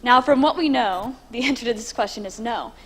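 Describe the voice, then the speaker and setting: Young woman, assured pitch delivery, higher voice, long hall reverb. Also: a little fast. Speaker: student presenter. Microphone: handheld mic.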